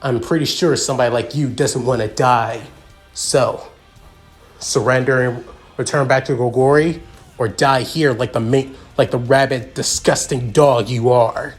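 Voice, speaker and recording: Man playing a calm, menacing young swordsman. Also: mid-range voice, unhurried, soft background music, close to an everyday voice. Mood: calm threat. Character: cold, threatening young male swordsman with a merciful streak.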